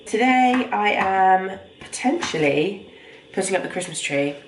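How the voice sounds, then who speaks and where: A woman's voice: medium pitch, expressive, unhurried. A young woman vlogging from her home kitchen, chatting casually while she cooks, with a stuffy, bunged-up voice from a cold. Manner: rambling, conversational, talks while cooking. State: congested with a cold. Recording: echoey room, faint noise.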